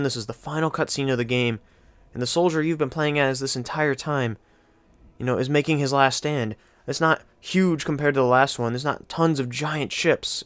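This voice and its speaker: A man gives casual, enthusiastic gaming commentary. His voice is mid-pitched and very clear. The recording is dry, with no room sound. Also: dry recording. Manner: informal, enthusiastic. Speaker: gaming video host.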